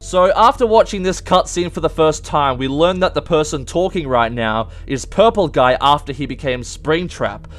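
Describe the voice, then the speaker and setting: A man with a slightly high voice presents game theories in an informal, explanatory way. He leans hard on key words for emphasis. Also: expressive, moderate pace, hardly any pauses. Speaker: video game theory host.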